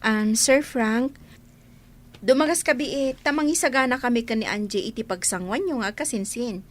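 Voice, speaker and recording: A woman's voice: fairly high pitch, moderate pace, close to an everyday voice. A woman acting a polite, dutiful, deferential young housemaid in a radio drama, with an Ilocano Filipino accent.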